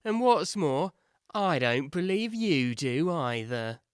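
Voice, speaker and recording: Slow, scornful eaglet. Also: male voice, on the high side, big pitch swings, clear, resonant tone, no room sound, clean studio sound. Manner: blunt, scornful. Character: blunt, scornful young eaglet. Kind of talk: full-cast audiobook character acting.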